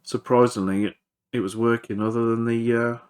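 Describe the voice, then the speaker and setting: A man's voice: mid-range voice, little pitch movement, moderate pace, clear, resonant tone. A man giving casual, hands-on repair commentary as he works, hesitant and thinking aloud. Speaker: electronics repairer presenting a video.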